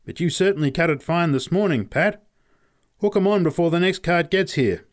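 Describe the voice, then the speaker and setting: A man's voice: mid-range voice, big pitch swings, normal speed, darkish tone, natural voice. A man voicing a blunt, teasing milk factory worker who calls out in storybook dialogue. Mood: brisk, teasing. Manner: calling out, exclaiming.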